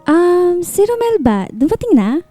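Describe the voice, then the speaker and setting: An actress playing a lively, teasing single woman in her late twenties with a Filipino accent in a radio drama. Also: extremely high pitch, unhurried, soft background music, full character voice. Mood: bright, eager.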